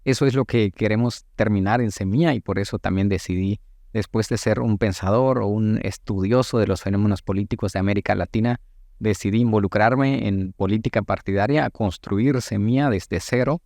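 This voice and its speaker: A Guatemalan man in his late thirties giving thoughtful, halting answers as a politician being interviewed, with formal wording. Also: fairly low pitch, a little mellow, no echo, clean studio sound. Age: late 30s. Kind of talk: reflective interview talk.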